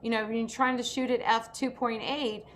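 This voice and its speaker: Female host, bright higher voice, casual explainer on a slightly noisy video call. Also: steady tone, moderate pace. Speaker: host of an industry program for actors.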